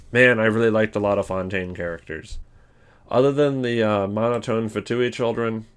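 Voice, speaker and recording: A man giving casual, rambling commentary on a ranking list as a video host. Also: on the low side. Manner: rambling, informal.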